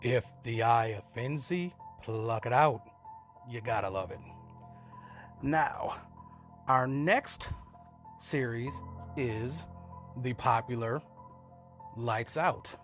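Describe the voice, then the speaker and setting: A man hosting with an unhurried, informal introduction to horror radio plays. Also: mid-range voice, a bit bright, soft background music. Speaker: show host.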